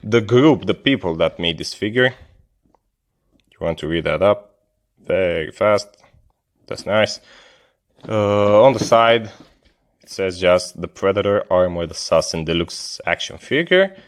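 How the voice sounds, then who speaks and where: Deep-voiced Italian man reviewing casually. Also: lively intonation. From Italy. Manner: hesitant, halting, apologetic non-native English. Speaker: toy reviewer, video host. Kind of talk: casual unscripted product review.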